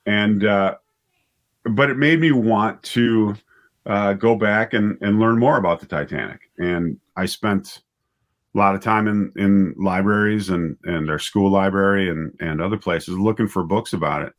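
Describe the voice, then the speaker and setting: A middle-aged man with a fairly low voice, speaking as an interview guest. He tells his story conversationally, doubling back on words and correcting himself as he goes. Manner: halting, with repeated words and self-corrections. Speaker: writer and director, interview guest.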